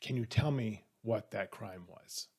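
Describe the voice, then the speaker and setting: A man's voice: lowish voice, unhurried, a little mellow, slightly rough. Man author chatting off the cuff. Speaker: author presenting a trivia question. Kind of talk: casual, off-the-cuff talk to camera.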